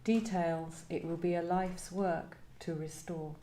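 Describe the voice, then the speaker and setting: A woman's voice: fairly low pitch, fairly even intonation, takes it slowly, mellow, a little hiss or hum. A woman, a poet speaking as a guest at a live literary event in a reflective style. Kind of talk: reflective discussion and poetry reading. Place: echoey indoor venue at a live event. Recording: roomy, echoing live venue.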